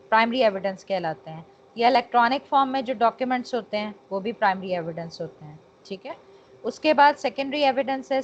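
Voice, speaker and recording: A woman with a Pakistani accent teaching law in an online lecture, explaining with English terms mixed in and checking that students follow. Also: fairly high pitch. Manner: checks understanding, frequent tag phrases. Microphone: online call. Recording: dull, noisy online call audio.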